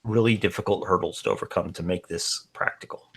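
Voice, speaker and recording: A man's voice: deep voice, steady tone, a little fast, slightly rough. A man co-hosting a casual podcast, skeptical and emphatic, swearing to drive his points home. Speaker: podcast co-host.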